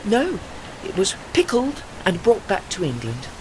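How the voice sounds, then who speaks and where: A woman playing a dignified, retired old warship in an audio drama, gentle and wistful, speaking in a measured, articulate and formal way. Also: mid-range voice, wildly swooping pitch, noisy background. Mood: gently encouraging.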